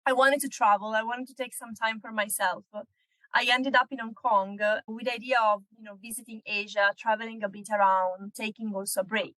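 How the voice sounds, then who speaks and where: Measured woman, dry sound. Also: a little high, fairly even intonation, a bit bright, dry recording, rough recording. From northern Italy. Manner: measured, explanatory. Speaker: guest, executive search consultant. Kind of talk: casual interview chat.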